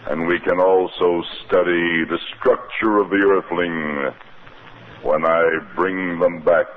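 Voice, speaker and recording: An actor playing a cold, domineering alien from Venus in a 1950s radio drama, commanding and deliberate. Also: male voice, deep voice, fairly even intonation. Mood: coldly explaining. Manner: commanding, slow, deliberate, hypnotic. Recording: muffled, noisy 1950s radio recording.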